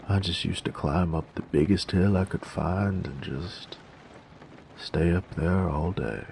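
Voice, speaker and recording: Casting: gruff, jaded bandit drifter, played by a male actor, extremely low and heavily creaky, sardonic folksy drawl, for roleplay audio drama. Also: a little mellow, slight background noise, heavily acted. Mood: wistful. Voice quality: gravelly, very low voice.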